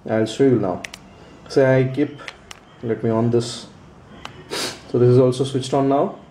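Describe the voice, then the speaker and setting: A man's voice: mid-range voice, steady tone, unhurried, warm tone. A man giving a casual unboxing and how-to demonstration, explaining step by step in a hesitant, repetitive way. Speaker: product reviewer. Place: indoors, small room at a tabletop. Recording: roomy echo, faint background noise.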